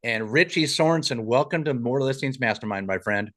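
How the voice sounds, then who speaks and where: A man hosts a live podcast call, friendly and encouraging as he introduces guests. He keeps the talk moving and builds excitement. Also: medium pitch, a little fast. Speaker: podcast host. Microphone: remote call.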